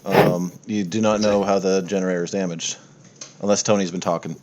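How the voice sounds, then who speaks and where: A man's voice: sits low, steady tone, brisk, darkish tone, slight background noise, poor audio. A man narrating a tabletop game casually as game master, with informal, sweary phrasing.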